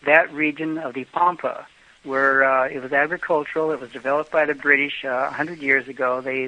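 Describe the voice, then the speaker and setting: A man's voice: mid-range voice, bright, forceful tone. An older American man telling travel stories in a casual interview, rambling with many fillers and restarts. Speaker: travel guest. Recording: dull lo-fi podcast audio.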